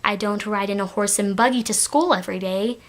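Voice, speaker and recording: Teen girl, light Texan accent, mid-pitched voice, chatty, rambling, lots of ums. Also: steady tone, a little fast. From Texas, United States. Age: teens. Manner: chatty, rambling, many fillers and restarts. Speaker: vlogger. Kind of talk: casual talk to camera.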